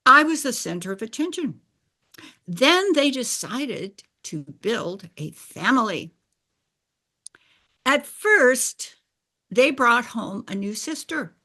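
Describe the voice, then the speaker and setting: An older woman whose first language is Bulgarian, telling a personal story in a deliberate, explanatory way and clearing her throat now and then. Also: fairly high pitch, sharp tone. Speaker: speaker sharing a personal story. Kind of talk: slow scripted personal narration.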